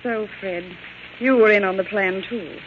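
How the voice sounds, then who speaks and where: A woman's voice: medium pitch, a little slow, natural voice. An actress playing a vengeful, ghostly woman, taunting and declamatory, in 1940s radio drama style. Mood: accusing, threatening. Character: vengeful woman posing as accusing ghostly murder victim. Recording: dull, noisy old radio broadcast.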